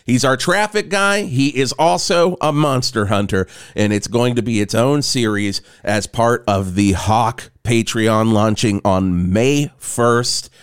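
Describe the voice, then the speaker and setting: A man's voice: mid-range voice, slow pace, few pauses, clear, resonant tone, no room sound, clean studio sound. A man co-hosting a comedy podcast, chatting casually and riffing with jokes and silly bits. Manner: jokey, riffing, slips into silly voices. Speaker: podcast co-host.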